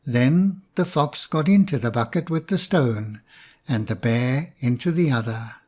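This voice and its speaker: A man narrating a fairy tale in a measured, even reading. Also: medium pitch. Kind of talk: storybook narration.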